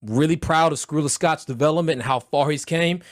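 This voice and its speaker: A man leads a livestreamed trading mentorship, and his voice is hoarse as he recovers from an illness. His pitch sits a bit high, and the recording is dry and close. He talks bluntly and loudly, with slang, pushing his listeners like a coach. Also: fairly quick, no echo. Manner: loud, blunt, motivational, slangy.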